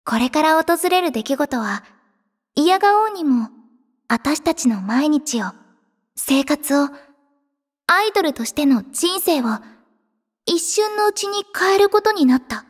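A woman playing an earnest, expressive teenage girl idol in an anime audio drama. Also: high voice, a little slow, pauses often. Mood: wistful narration. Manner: girlish, emotive, earnest. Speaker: voice actress, narrator and lead.

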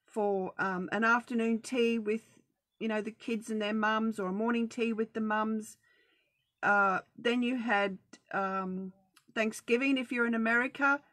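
A woman's voice: fairly high pitch, a bit bright, dry recording. A middle-aged Australian woman hosting a casual live video chat, talking in a chatty, practical and reassuring way about saving money. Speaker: budgeting group host. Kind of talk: casual Facebook Live talk.

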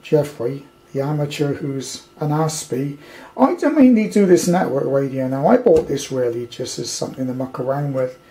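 A man's voice: a little high, expressive, moderate pace, warm tone, low-quality recording. A British man giving a casual, off-the-cuff hobbyist review in a rambling, blunt, colloquial way. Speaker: amateur radio hobbyist reviewing a kit. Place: indoors at a tabletop.

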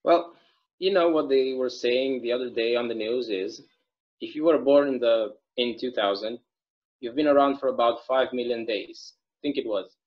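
A folksy small-town bartender played by a young man at a table read, chatty and given to rambling storytelling. His pitch sits mid-range for a man. The sound is a slightly dull, lo-fi video call. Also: normal speed, close to an everyday voice. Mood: friendly, curious. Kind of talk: online table read of a stage play. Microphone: video call microphone.

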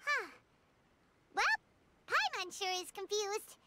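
A woman voicing a tiny, chirpy female fairy companion in a video game, talking in a high, bouncy, curious way. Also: animated, takes it slowly, very noisy, full character voice. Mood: puzzled. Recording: game audio with music.

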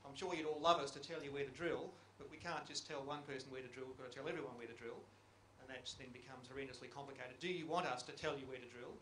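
A man's voice: medium pitch, fairly even intonation, fast, hardly any pauses, a bit bright. A middle-aged man on a conference panel speaking into a handheld mic, reflective and putting questions to the audience. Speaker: geological survey panelist. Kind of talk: panel discussion remarks. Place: conference room. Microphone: handheld microphone. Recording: echoey conference room, faint hiss.

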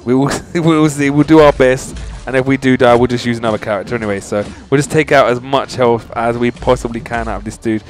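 A man's voice: mid-range voice, fairly even intonation, quick pace. Chatty male gamer over game music. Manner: informal, chatty, addressing viewers directly. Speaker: gaming channel host. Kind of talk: casual video game walkthrough commentary. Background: video game music.

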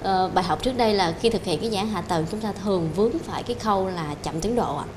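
A woman's voice: medium pitch, quick pace, noisy background. A young woman hosting a formal interview, asking polite, deferential questions. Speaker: interviewer host. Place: studio office set. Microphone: handheld mic.

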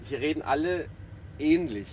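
A man's voice: fairly high pitch, animated, normal speed. A man hosting a livestream from behind the wheel, chatting casually and teasing his guests. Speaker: host driving guests in a livestream. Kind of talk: casual joking chat. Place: inside a van driving through a city. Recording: noisy moving vehicle, road noise.

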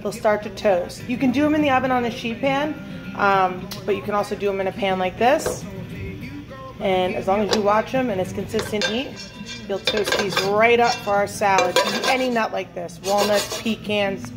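A woman's voice: medium pitch, fairly even intonation, moderate pace. A woman in her thirties, a chef giving an upbeat, chatty cooking demonstration in her home kitchen, filmed by a camera set in front of her. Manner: upbeat, chatty instructions with frequent fillers. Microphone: camera in front, mid-distance. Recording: roomy kitchen echo with music.

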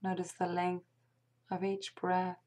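A woman leading a guided meditation in a hushed, breathy, half-whispered voice, speaking gently and deliberately with long pauses. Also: lowish voice, monotone.

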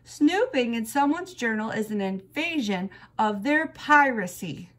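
A woman's voice: a little high, expressive, a little slow, pitched above the performer's own voice. A woman voicing a feisty, stubborn young schoolgirl in a storybook, with childish grammar and emphatic delivery. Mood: arguing.

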